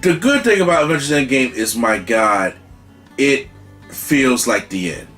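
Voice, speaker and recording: Male host, mid-pitched, emphatic and repetitive, quiet guitar music underneath. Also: measured pace. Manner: excited, repetitive restarts, emphatic. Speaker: channel host, movie reviewer. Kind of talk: casual movie review.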